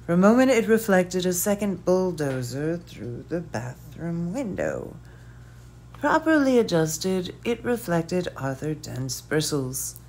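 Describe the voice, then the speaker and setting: A woman reads a story aloud in a measured, unhurried way. Her voice sits a little low for a woman, and her phrases often fall away softly at the end. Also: big pitch swings, slight background noise. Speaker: audiobook reader. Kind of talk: scripted narration.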